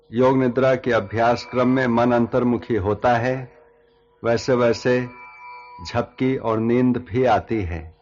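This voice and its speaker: A man leading a guided yoga nidra relaxation as instructor, giving measured instructions in short phrases with long pauses. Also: mid-range voice, darkish tone, lo-fi sound. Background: background music.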